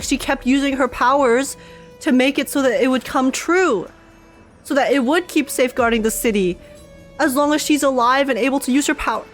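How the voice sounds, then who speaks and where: A woman streaming a casual game reaction, talking in an insistent, halting, repetitive way. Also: high voice.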